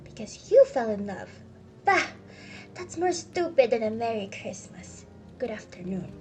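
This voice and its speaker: A woman's voice: on the high side, lively intonation, normal speed, dark tone, soft background music. A woman voicing a cold, mean old miser in an audiobook, curt, scornful and emphatic. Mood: angry, dismissive.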